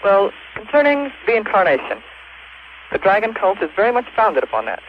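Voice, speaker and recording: A man's voice: sits high, huge pitch swings, a little hiss or hum. A young male caller putting on an affected accent to play a solemn, cryptic cult grand master. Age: young adult. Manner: slow, solemn, evasive pronouncements. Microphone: phone call into radio show. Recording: muffled phone line on radio.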